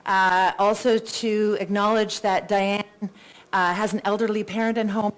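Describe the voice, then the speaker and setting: A woman's voice: mid-range voice, little pitch movement, measured pace, low-quality recording. A Canadian woman moderating a live candidates' debate, chatty, with playful self-deprecating asides and a big voice. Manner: says she has a really big voice.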